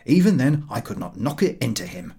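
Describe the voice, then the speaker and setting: A man's voice: medium pitch, sing-song, mellow, slightly rough. Man acting an indignant, voluble British traveller. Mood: exasperated, indignant. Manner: exasperated, voluble, rapid explaining.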